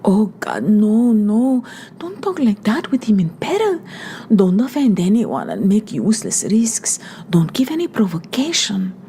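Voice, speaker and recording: A woman's voice: mid-range voice, very animated, measured pace, dark tone, a little hiss or hum, pitched above the performer's own voice. A woman voicing a frightened young woman who pleads in a breathless, whispered way. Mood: fretful.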